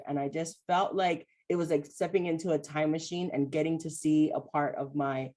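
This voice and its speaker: A woman from Hawaii speaks in a low, easy voice. She talks with a friend in a reflective, unhurried way, full of "like" and "you know". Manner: warm, reflective, with many "like" and "you know" fillers. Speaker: host, mental health coach. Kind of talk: casual chat between friends.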